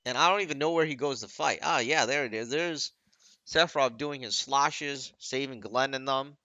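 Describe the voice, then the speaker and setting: A man's voice: medium pitch, normal speed, pushed and bright, no room sound. A man giving casual, off-the-cuff commentary on game cutscenes, explaining conversationally with rambling asides.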